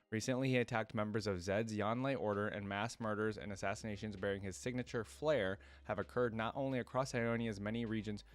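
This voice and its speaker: Male reaction host, lowish pitch, conversational delivery moving between reading aloud and casual commentary.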